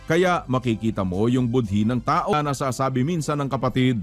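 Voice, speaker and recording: A man's voice: medium pitch, fairly quick, faint music behind. A man preaching in an admonishing, emphatic way, asking rhetorical questions and quoting scripture. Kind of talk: religious exhortation with rhetorical questions and scripture quotes.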